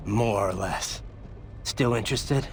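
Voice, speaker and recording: An actor playing a world-weary, determined action hero in a video game, with terse, wry, casual phrasing. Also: male voice, lowish voice, slow pace, creaky. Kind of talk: video game cutscene acting.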